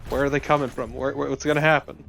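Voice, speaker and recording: A man's voice: medium pitch, little pitch movement, fast talker, vocal fry, a bit bright, slight background noise. A man co-commentating on a video game in a casual, wry, teasing way. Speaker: co-commentator watching the gameplay. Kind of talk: casual gaming chat.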